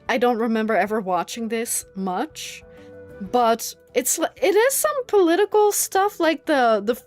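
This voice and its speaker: A young woman from the Netherlands, a native Dutch speaker, chatting casually and rambling with many fillers while streaming a game, often breaking into giggles. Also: on the high side. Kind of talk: casual chat while gaming.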